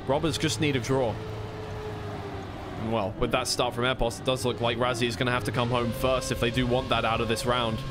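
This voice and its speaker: A man's voice: fairly high pitch, background noise. A man doing live esports play-by-play commentary in a conversational way, thinking aloud as he goes.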